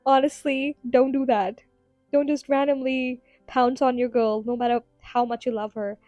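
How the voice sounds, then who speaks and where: Casting: higher-voiced woman, rambling podcast host, giggly, voice tiring, dry close mic. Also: level tone, moderate pace, a little mellow, no room sound, faint music behind. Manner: chatty, giggly, rambling with fillers. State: tired, strained voice. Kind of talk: casual solo podcast ramble.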